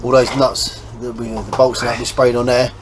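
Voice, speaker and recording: A man's voice: medium pitch, little pitch movement, normal speed. English bloke doing a hands-on vlog, explains stuff as he goes, dead informal, bit of noise behind him. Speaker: host of a boat restoration vlog. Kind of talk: casual hands-on vlog chat. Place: on and around a small boat. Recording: background noise on location.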